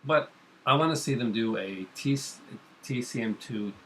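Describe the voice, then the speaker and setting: A man's voice: mid-range voice, moderate pace, fairly bright tone, a little hiss or hum. A middle-aged man hosting a casual chat vlog, rambling enthusiastically in a home kitchen. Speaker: vlog host. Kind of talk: casual chat about comics and horror films.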